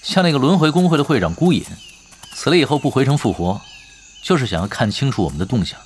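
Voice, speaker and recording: A man's voice: medium pitch, sing-song, quick pace, slight background noise, natural voice. A man acting in an audio drama as a laid-back, shrewd veteran gamer and team leader, matter-of-fact and understated. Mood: calm, decisive. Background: background music.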